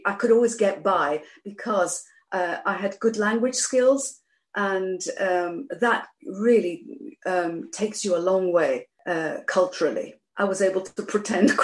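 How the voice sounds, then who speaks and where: A woman's voice: mid-range voice, slow pace. A middle-aged woman from Iran, a guest in an interview, talking hesitantly with many fillers and restarts. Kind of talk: conversational interview. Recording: roomy echo.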